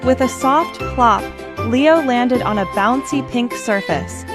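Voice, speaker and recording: Female children's storyteller, higher-pitched, expressive and unhurried, over prominent jingly children's music. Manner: expressive, simple phrasing addressed to children. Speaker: children's storybook reader and channel host. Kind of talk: read-aloud storytelling for young children.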